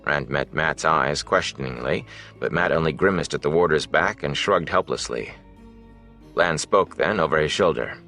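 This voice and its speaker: A man with a deep voice narrates a fantasy novel. His delivery is scripted audiobook storytelling. Soft music plays faintly behind him. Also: moderate pace.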